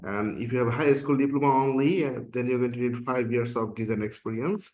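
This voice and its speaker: A man's voice: medium pitch, steady tone, brisk, a little mellow. A man from Bangladesh, a non-native speaker of English whose first language is Bangla, casually explaining an application form step by step as an instructor. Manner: casual and explanatory, frequent "uh" and "okay". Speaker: course instructor. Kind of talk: screen-recorded tutorial walkthrough.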